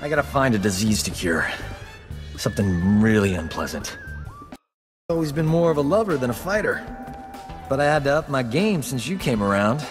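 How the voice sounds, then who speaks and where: An actor playing a cocky, flirtatious, boastful rogue doctor in a sci-fi video game, glib and smooth-talking. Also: male voice, mid-range voice, big pitch swings, normal speed, long pauses, in a large hall, over music, close to an everyday voice. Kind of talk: video game dialogue acting.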